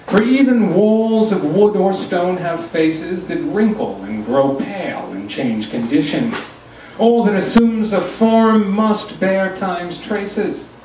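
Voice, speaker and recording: Male poet at a live reading: slow, animated, theatrical verse delivery, recorded in a very reverberant room. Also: on the high side. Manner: theatrical, deliberate verse delivery with wry asides. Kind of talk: dramatic live poetry reading. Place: pub reading room, indoors. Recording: echoey room, distant mic, faint noise.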